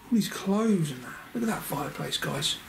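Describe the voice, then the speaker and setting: A man's voice: on the high side, animated, moderate pace, gravelly, over a noisy crowd. A man chatting casually and exclaiming at his surroundings as he narrates an exploring vlog on a handheld camera. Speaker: paranormal explorer, channel host. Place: indoors, walking through empty derelict building. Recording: echoey empty rooms, background noise.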